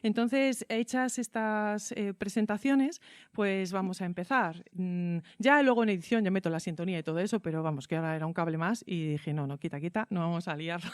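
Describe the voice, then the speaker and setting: A woman's voice: fairly low pitch, brisk, no echo, clean studio sound. A woman with an accent from Spain, speaking informally and a bit hesitantly as a teacher hosting a radio interview. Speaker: teacher and radio workshop host. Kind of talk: informal spoken introduction and interview.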